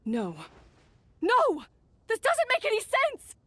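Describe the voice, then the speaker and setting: An actress playing a haughty, obsessive rival head chef in a video game, with a cutting, theatrical manner. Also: female voice, very high voice, huge pitch swings, slow pace, fairly bright tone, exaggerated voice. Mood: frantic disbelief.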